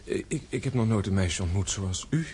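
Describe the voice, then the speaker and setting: Radio play: awkward, kindly young gentleman, hesitant stammer, lively intonation, faintly noisy recording. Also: fairly low pitch, normal speed. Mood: bashful. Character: shy, awkward, good-natured young gentleman. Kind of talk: radio drama acting.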